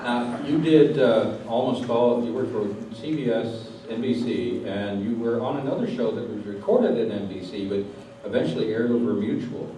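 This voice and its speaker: A man's voice: lowish voice, normal speed, warm tone. A man hosting a live interview before an audience, prompting his guest with questions and background remarks. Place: large room before an audience. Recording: very echoey hall, distant, clear background noise.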